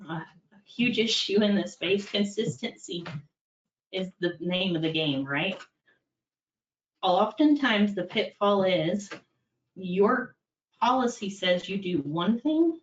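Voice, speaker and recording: A woman's voice: mid-range voice, animated, slow, pauses often, roomy sound, a little hiss or hum. Middle-aged woman giving a practical talk, dull sound, mic kinda far. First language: English. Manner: conversational, practical, with frequent fillers. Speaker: compliance executive presenting. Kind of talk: candid webinar talk.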